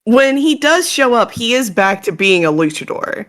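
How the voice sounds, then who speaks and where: A woman's voice: a little high, sing-song, moderate pace, fairly bright tone. A woman in a casual podcast chat, teasing and matter-of-fact. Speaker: podcast guest.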